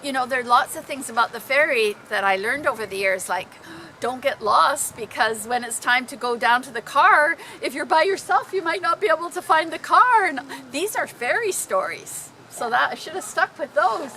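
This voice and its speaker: A woman telling personal stories informally to a group, in an animated, dramatic manner. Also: high voice, normal speed, slight background noise. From Canada. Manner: animated, dramatic, acting out exclamations. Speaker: storyteller.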